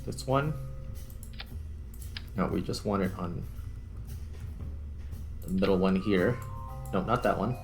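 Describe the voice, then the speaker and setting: A man's voice: sits low, slow pace, pauses often, a little mellow. A man giving casual gameplay commentary as a host, thinking aloud as he works. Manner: thinks aloud while working. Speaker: gaming video host. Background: background music.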